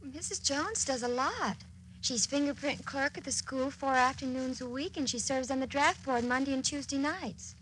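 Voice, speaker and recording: On a noisy vintage radio drama recording, an eager young small-town sweetheart. Her voice is very high and bright, with a girlish, perky and ingenuous way of talking. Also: moderate pace. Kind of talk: 1940s radio drama acting.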